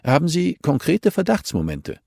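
A man's voice: on the high side, wildly swooping pitch, normal speed, creaky, darkish tone, dry recording, studio quality, pitched above the performer's own voice, heavily acted. A man voicing a stern, reluctant male judge in an audiobook, speaking in a firm, admonishing way.

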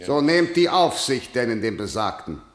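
A man's voice: mid-range voice, very animated, normal speed, lightly acted. An actor playing a harsh male tyrant in a classical verse radio play, declaiming commands and threats. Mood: menacing. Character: harsh, stern male tyrant ruling a city. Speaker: ruler of the city. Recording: roomy hall reverb.

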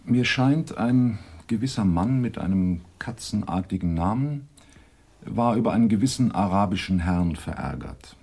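Sly male informant, radio drama, low-pitched, husky, cryptic storyteller, deliberate delivery. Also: animated, dark tone. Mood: sly, mysterious. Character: sly criminal informant telling veiled riddles. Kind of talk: 1980s German radio drama acting.

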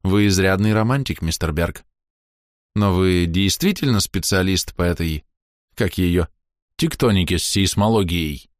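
A sceptical statesman in his forties , acted out by a male audiobook reader. He is direct and emphatic, with a low voice and a dry, close sound. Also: a little slow, dry recording. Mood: dry, doubting.

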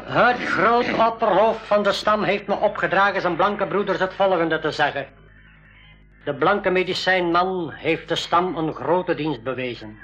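Dignified jungle medicine man, higher-pitched voice, formal and measured, dull radio recording. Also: a bit bright, soft background music. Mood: solemn. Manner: formal, measured, third-person speech. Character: solemn, dignified male native medicine man of a jungle tribe. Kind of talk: 1980s Dutch-language school radio drama acting.

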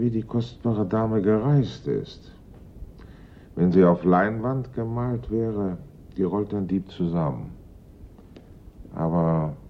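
A very deep, gravelly, brooding detective. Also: male voice, full character voice. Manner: deliberate, earnest, reasoning. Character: dogged male police investigator, grave, brooding, suspicious. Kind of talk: German radio drama acting. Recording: dull old radio recording, noisy rumble.

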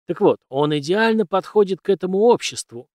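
A man's voice: high-pitched, animated, a little fast, a little mellow, dry recording, voice pushed up. A man voicing a stern, cynical, commanding mentor in an audiobook, lecturing emphatically with rhetorical questions. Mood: scornful, forceful. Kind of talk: audiobook character dialogue.

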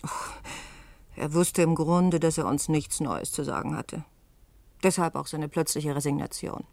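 A woman's voice: sits low, steady tone, unhurried, gravelly, darkish tone, no echo. An actress playing a passionate, outspoken woman journalist in a German science-fiction radio play, speaking earnestly and insistently. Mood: resigned.

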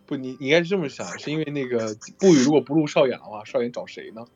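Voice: mid-range voice, lively intonation, rapid-fire, a little mellow, faint music behind. A playful voice actor from Qingdao, China, chatting casually with castmates and laughing often. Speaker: voice actor in an audio drama. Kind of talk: casual group chat at an audio drama cast event.

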